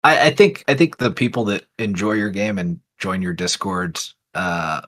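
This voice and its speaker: A man with a clear, easily understood voice, speaking as a guest in a casual interview, reserved and careful with his words. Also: low voice, moderate pace, fairly bright tone. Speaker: guest developer.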